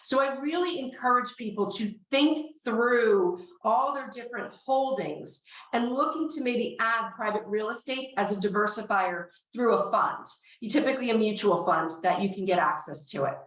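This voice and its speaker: A woman's voice: fairly high pitch, moderate pace, a bit bright, far from the mic. Woman, podcast co-host, losing her voice, eager explainer, echoey room, set back from the mic. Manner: enthusiastic, explanatory. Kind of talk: casual finance talk.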